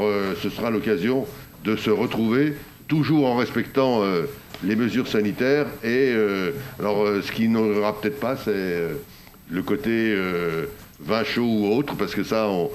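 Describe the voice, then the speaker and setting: A man with a French accent chairing a council meeting, speaking off the cuff in a semi-formal, hesitant way with drawn-out pauses. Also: lowish voice. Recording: faint background noise.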